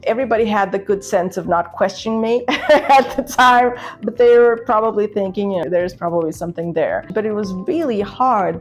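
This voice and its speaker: Portuguese woman in her forties, music behind. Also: medium pitch. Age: 40s. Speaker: business owner telling their career story. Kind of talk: candid personal storytelling to camera.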